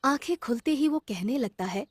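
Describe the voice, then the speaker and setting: A woman's voice: high voice, expressive, normal speed, a little hiss or hum. A woman narrating a scripted children's story.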